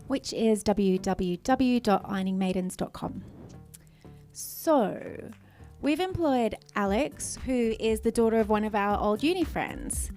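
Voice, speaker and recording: A woman's voice: mid-range voice, animated, unhurried, darkish tone, faint music behind. An Australian woman co-hosting a podcast, giving a chatty, playful scripted introduction. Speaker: podcast co-host, performer.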